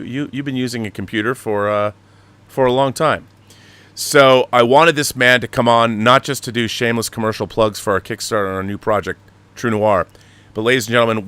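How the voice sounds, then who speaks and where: Low-pitched man hosting an opinionated talk show. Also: fairly bright tone, smooth. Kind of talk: opinionated live commentary and interviewing.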